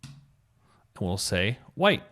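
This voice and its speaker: A man's voice: lowish voice, sing-song, extremely slow, fairly bright tone. A man hosting a step-by-step coding tutorial, explaining as he goes and spelling things out in an instructional way. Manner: instructional, spells out code letter by letter. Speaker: web design tutorial host.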